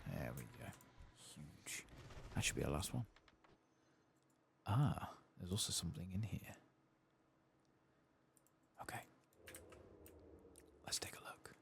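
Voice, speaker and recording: A very low-pitched man speaks softly in an ASMR gaming style, with heavy vocal fry. His hushed, unhurried muttering moves lively in pitch, over faint background noise. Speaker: streamer playing a fantasy game. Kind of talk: soft-spoken, whispered ASMR gameplay commentary.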